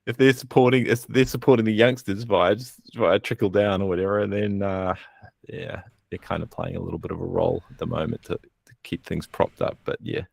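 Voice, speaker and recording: A man's voice: low-pitched, steady tone, a little fast, creaky, no echo. A man co-hosting a casual live stream, talking in a hesitant, rambling, thoughtful way. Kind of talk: casual chat.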